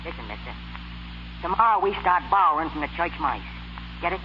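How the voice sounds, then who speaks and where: Man as a high-voiced, brash New York fight manager. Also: expressive, a little fast, natural voice. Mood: exasperated, sarcastic. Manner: slangy, pushy, sarcastic. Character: brash, bossy, wisecracking Brooklyn boxing manager. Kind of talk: 1930s radio comedy acting. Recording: scratchy, hissy 1930s radio broadcast, dull.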